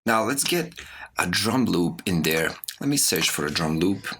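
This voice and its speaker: A man with a low, creaky voice teaches audio software step by step. His pitch moves around in a lively way as he explains, with keyboard and mouse clicks behind him. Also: moderate pace, a little hiss or hum. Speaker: audio production course instructor. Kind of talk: software tutorial narration. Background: mouse clicks and computer keyboard typing.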